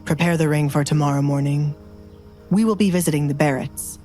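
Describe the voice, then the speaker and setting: Lady doing a low voiced bossy young duke, clipped n deadpan, audio romance story w music behind. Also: fairly quick, natural voice. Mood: commanding. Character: stern, commanding young duke, coolly teasing his butler.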